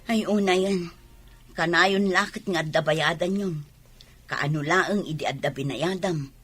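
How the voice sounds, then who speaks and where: Husky, scolding Filipino mother. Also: lowish voice, a little slow, fairly bright tone. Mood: irritated scolding. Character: curt, scolding mother of a poor mountain family. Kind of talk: Filipino radio drama acting.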